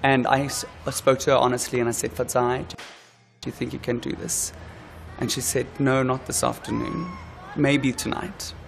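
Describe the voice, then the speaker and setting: A man's voice: mid-range voice, little pitch movement, moderate pace, gravelly, music in the background. A man in his thirties, a drama teacher, telling a heartfelt story in an interview on an empty stage. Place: empty school stage, indoors.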